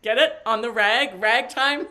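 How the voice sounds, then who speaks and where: A woman acting an eccentric, evasive mother in a stage play. Her voice sits fairly high, and she rambles along with flippant jokes, theatrical flourishes and stammered asides. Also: unhurried, pushed and bright. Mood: chatty, playful.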